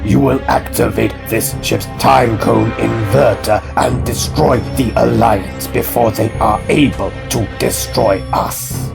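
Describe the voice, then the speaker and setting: A man playing a menacing, suspicious alien warlord in an audio drama, gravelly and sternly commanding. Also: medium pitch, steady tone, slow pace, hardly any pauses, music in the background.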